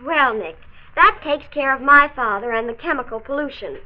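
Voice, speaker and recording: A woman's voice: sits high, lively intonation, moderate pace. A woman playing an earnest, bossy teenage girl in a 1940s radio drama. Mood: eager. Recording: dull old radio transcription disc.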